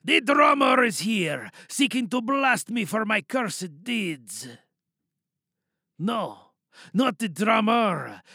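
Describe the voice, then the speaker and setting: A man performs an ancient, brooding troll of the mountain in an audio drama. The voice is high and gravelly, very bright and forceful. It declaims its rhymes with grand, wounded menace. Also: no room sound, studio quality, exaggerated voice. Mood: outraged. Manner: declamatory rhymed verse, drawn-out words. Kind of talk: theatrical audio drama monologue.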